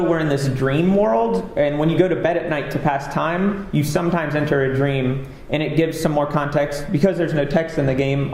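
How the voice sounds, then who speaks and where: A man's voice: fairly high pitch, darkish tone. A man giving a casual, rambling conference talk as a game developer, with lots of fillers and a self-deprecating manner. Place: conference stage. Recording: echoey hall with faint ambience.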